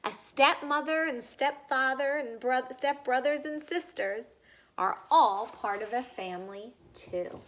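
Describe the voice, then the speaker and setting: A woman reads a children's book aloud in a high, bright voice. She goes slowly and deliberately, speaking straight to young listeners and asking them questions as she reads. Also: slight background noise. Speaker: read-aloud reader.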